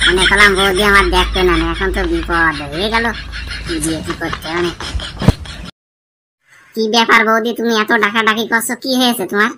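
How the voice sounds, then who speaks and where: A man's voice: high-pitched, fairly even intonation, fairly quick, long pauses, pushed and bright, exaggerated voice, rough recording. Man voicing a bawdy, grumbling young village bachelor. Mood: worried, grumbling. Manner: colloquial, complaining, pleading. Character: Futo, bawdy young bachelor, pleading and evasive. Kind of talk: comic cartoon dialogue and muttered asides.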